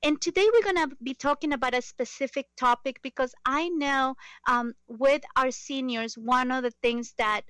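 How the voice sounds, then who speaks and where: Female talk show host, middle-aged, slightly high voice, very dry recording. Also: moderate pace, few pauses, fairly bright tone, clean tone, no echo. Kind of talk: radio interview hosting.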